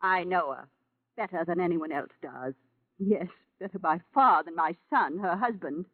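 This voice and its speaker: A woman's voice: lowish voice, moderate pace, natural voice. An actress in a vintage radio drama playing a possessive, prying mother of a grown son, formal and reproachful. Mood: cold, bitter. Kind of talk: 1940s-50s radio drama acting. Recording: muffled vintage radio broadcast recording.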